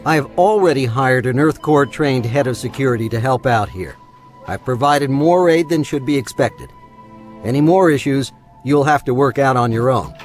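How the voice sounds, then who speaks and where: A man playing a wealthy, self-important older schemer in an audio drama, pompous and smooth-talking. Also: medium pitch, moderate pace, soft background music, close to an everyday voice. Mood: pompous, pressuring.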